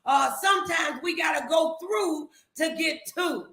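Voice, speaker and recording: A woman's voice: extremely high pitch, measured pace, a bit bright, reverberant, shouting. A woman giving an emphatic, preachy faith-based motivational talk, repeating herself as she drives points home.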